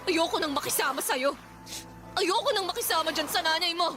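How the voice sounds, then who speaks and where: Fed-up young mother, very high voice, confrontational, emphatic, radio drama, music bed. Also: lively intonation, fairly quick, pushed and bright, heavily acted. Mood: bitter, resolute. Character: defiant, fed-up young mother leaving her partner.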